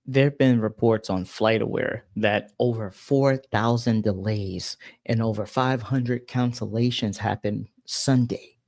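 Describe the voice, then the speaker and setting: A man's voice: fairly low pitch, fairly even intonation, measured pace, darkish tone, dry recording. Exasperated male commentator, close dry sound. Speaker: commentary show host. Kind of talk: casual news commentary.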